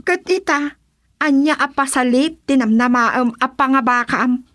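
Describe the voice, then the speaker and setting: Village wife, Ilocano accent, high voice, bantering comic radio serial delivery. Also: female voice, fairly even intonation, a little slow, a bit bright. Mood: teasing. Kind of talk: radio drama comedy acting.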